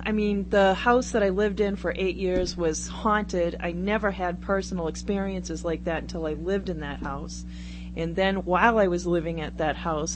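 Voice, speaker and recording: Low-voiced woman on a noisy recording. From Brockton, Massachusetts, United States. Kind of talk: casual radio conversation. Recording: very noisy radio recording with hum.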